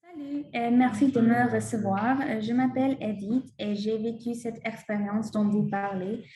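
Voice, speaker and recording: A woman's voice: on the high side, fairly even intonation, moderate pace, lightly acted. A woman playing a young immigrant woman recounting her school years, speaking hesitantly with many fillers. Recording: roomy echo.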